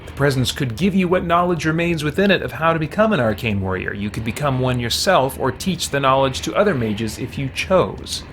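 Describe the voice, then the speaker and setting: A man hosts a game stream in a casual, think-aloud way, moving between reading on-screen text and talking to viewers. His voice sits at a middling pitch, with some faint noise in the background. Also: expressive, a little fast, few pauses, a bit bright.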